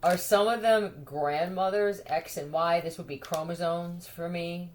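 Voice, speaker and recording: A woman with a somewhat low voice rambles to the camera in a scattered stream. She is crude and blunt, swears freely and speaks straight at people who are not there. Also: steady tone, a little slow, darkish tone, slight background noise. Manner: crude, scattered, addresses unseen listeners. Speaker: video creator.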